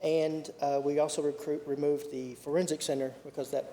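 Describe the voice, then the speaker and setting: A man reads out a report at a county committee meeting. He speaks haltingly and full of fillers, in a rather high but mellow voice. The sound carries a long hall reverb. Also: little pitch movement, a little hiss or hum. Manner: halting, many fillers and restarts. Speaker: county official giving a report.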